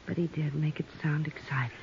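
On a dull vintage radio recording, a bitter, wounded woman. Her voice is creaky with fry, and her delivery is intense and melodramatic, in fifties radio-serial style. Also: low-pitched, fairly even intonation, fairly quick, a little mellow, full character voice. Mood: bitter, regretful. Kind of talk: 1950s radio acting. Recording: muffled old radio broadcast.

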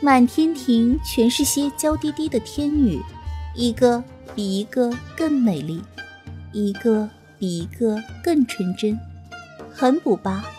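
A woman's voice: on the high side, animated, takes it slowly, dark tone, soft background music. A woman voicing a mischievous female beast demon from a fantasy audiobook, coy, impudent and goading.

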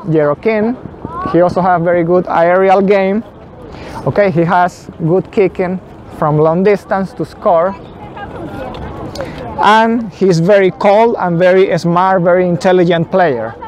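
A man in his thirties whose first language is not English, slowly explaining football tactics at a whiteboard outdoors, often saying "okay". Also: high-pitched, fairly even intonation, darkish tone. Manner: slow, deliberate, frequent "okay" fillers. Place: outdoors in a city square. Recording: faint outdoor city noise.

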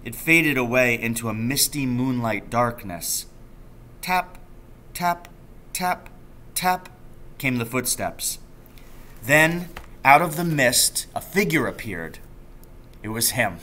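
Male, middle-aged, forceful bright voice, expressive storybook read-aloud, teacher at a desk. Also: mid-range voice, takes it slowly, pauses often. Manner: expressive reading, calls out the dialogue. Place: small office or classroom indoors.

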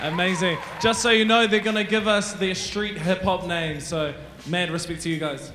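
A New man in his thirties emcees from a big auditorium stage, his voice sitting high as he showers praise on the performers. His handheld mic picks up a long, echoey hall sound with a faint crowd behind him. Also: fairly even intonation, normal speed, noisy background. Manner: enthusiastic, effusive praise. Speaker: event MC. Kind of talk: upbeat stage MC interview.

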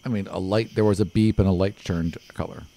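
Low-pitched man running a tabletop game. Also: steady tone, fairly quick, warm tone, slight background noise. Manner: improvised, conversational scene-setting. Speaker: game master. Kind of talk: narrating a live tabletop roleplaying game. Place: small studio room, group around a table. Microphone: tabletop microphone.

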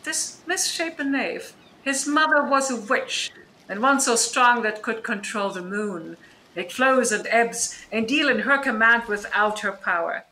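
A composed sorceress acted by a woman delivers Shakespearean verse with authority. Her voice is fairly high, bright and slow, with faint noise behind it. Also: low-quality recording. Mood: accusing. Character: commanding, composed sorceress and noblewoman. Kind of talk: Shakespearean radio drama acting.

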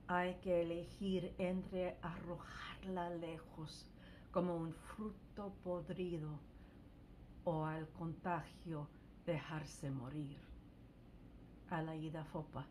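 An older woman giving a solemn poetry recitation in a measured, grave manner. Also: low voice, steady tone, darkish tone. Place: indoors on a video call. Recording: noticeable background noise on video call.

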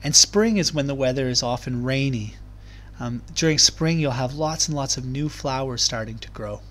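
A man reading aloud to young children as a teacher, explaining things in clear, simple terms. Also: mid-range voice, moderate pace, a bit bright. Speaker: primary school teacher. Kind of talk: children's read-aloud lesson.

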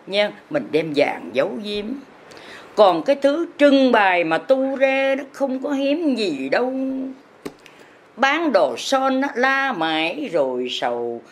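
A woman, a religious teacher who delivers her sermon as drawn-out, sing-song chanted verse and urges her listeners on. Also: fairly low pitch, a little hiss or hum.

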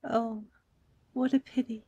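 A woman voicing a gentle, melancholy lady in a fantasy game, speaking softly and wistfully. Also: a little high, fairly even intonation, slow pace, breathy edge, highs cut off. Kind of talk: scripted game dialogue.

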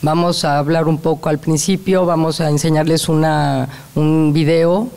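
A woman's voice: low-pitched, level tone, warm tone. A woman interpreting a talk line by line, seated at a panel table in a large hall with a handheld mic. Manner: quick, restating lines in brief. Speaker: speaker and interpreter. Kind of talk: consecutive interpreting. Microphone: handheld microphone.

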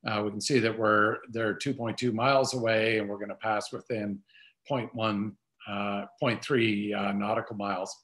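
A man's voice: on the low side, flat delivery, moderate pace, a bit bright. An older man giving an informal, explanatory webinar product demonstration over a video call. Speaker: presenter.

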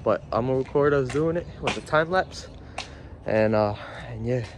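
A man's voice: medium pitch, moderate pace, background noise. A young man talking casually into his phone camera, laid-back and slangy, as he films his work day. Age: young adult. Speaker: vlogger. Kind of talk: casual vlog chat. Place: outdoors in a parking lot by a work trailer. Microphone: phone camera held at arm's length.

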